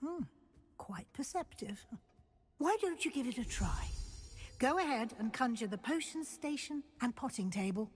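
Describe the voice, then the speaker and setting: An actress playing a composed, encouraging middle-aged professor of magic in a video game, speaking in a measured, formal, instructive way. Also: female voice, a little high, expressive, natural voice. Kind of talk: scripted video game dialogue. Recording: long hall-like reverb.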